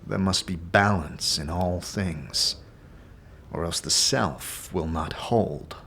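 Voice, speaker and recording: A man's voice: low-pitched, slow, creaky, a bit bright, slight background noise, exaggerated voice. A man playing a weary, brooding immortal wanderer in a fantasy audio drama, earnest and reflective. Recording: music bed under the voice.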